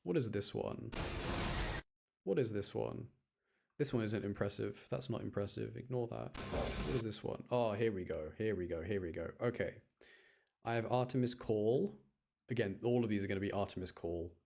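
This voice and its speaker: A man giving casual gameplay commentary in an excitable way, repeating phrases for emphasis as he shows clips. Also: on the low side, moderate pace, vocal fry, heavy background noise. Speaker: gamer showing gameplay clips.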